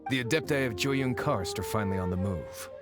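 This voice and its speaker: A man's voice: on the low side, brisk, loud music behind the voice, natural voice. A man playing a wry agent of a shadowy faction in a video game, dry, informative and a little scornful. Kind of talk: video game character acting.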